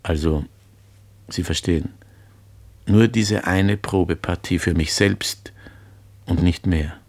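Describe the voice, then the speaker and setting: A man's voice: sits low, slow pace, a little mellow, no echo. An actor playing a haunted, courteous former prisoner wary of his old obsession, speaking formally and hesitantly in a radio play. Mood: grave, uneasy. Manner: hesitant, repeats phrases, formal and polite. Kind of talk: literary radio play monologue.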